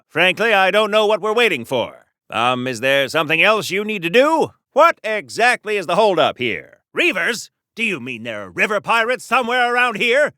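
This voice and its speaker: High-pitched, wholesome wartime superhero. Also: male voice, pushed and bright, dry recording, clean studio sound, full character voice. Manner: quaint, polite, theatrical, declamatory. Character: earnest, wholesome 1940s patriotic soldier superhero, plucky and prim. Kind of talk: golden-age comic book hero game dialogue.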